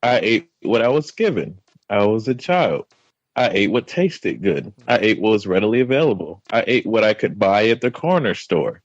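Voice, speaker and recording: A man talking casually as a podcast guest, playful and relaxed. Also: moderate pace, no echo, lo-fi sound. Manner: playful, jumps into a high hype voice at times. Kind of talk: casual conversation.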